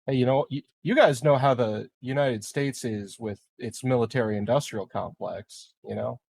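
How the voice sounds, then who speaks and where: A man taking part in a tabletop roleplaying game as a player. He speaks in casual chat, with a voice of middling pitch. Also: normal speed, vocal fry, a little mellow, breathy edge, dry recording.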